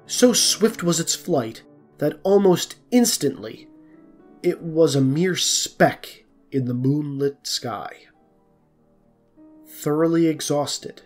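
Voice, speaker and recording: A man's voice: a little high, a little mellow. A man narrating a scripted folk tale as the storyteller of an audio drama.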